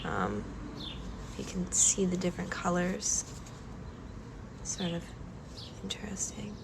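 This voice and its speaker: Slow, soft-spoken woman with a cold. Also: fairly low pitch, sing-song, noisy background. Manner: slow, soft-spoken, hesitant with many ums. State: stuffy-nosed from a cold. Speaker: collage artist vlogger. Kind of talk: calm art-demonstration vlog.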